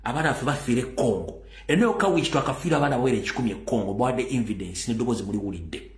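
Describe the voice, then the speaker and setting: A man's voice: mid-range voice, animated, racing, reverberant. A man hosting a political talk show, delivering urgent, insistent commentary and mixing English into his speech.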